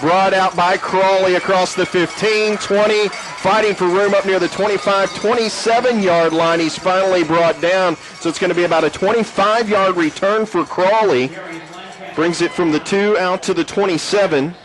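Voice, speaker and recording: High-voiced play-by-play man. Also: moderate pace, poor audio. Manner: rising excitement on big plays. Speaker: play-by-play announcer. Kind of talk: sports play-by-play commentary. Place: press box at an outdoor stadium.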